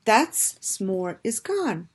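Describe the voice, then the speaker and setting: A woman reading a children's storybook aloud in a deliberate, expressive way, like a teacher addressing young listeners. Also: mid-range voice, husky.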